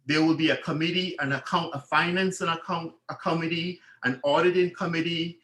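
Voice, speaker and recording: A man's voice: a little high, fairly even intonation, brisk, a bit bright. A man giving an earnest, formal candidate speech at a residents' meeting, often restarting his phrases. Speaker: board candidate. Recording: echoey room.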